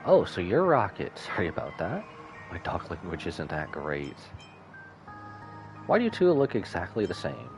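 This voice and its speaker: Very animated, low-voiced male game streamer. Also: normal speed. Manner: playful, reacting aloud to the game. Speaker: game livestreamer. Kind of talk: playful live gameplay commentary, reading dialogue aloud. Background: faint game music.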